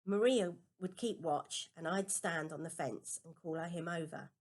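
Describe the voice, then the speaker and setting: A woman's voice: lowish voice, unhurried. A woman reading a children's novel aloud as a first-person storybook narration. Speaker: storybook reader.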